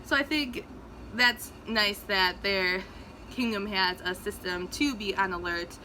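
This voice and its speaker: A woman's voice: medium pitch, sharp tone, a little hiss or hum, poor audio. A woman talking casually to viewers as she recaps a book, retelling it conversationally in short, emphasized phrases. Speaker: book reviewer hosting a read-along recap.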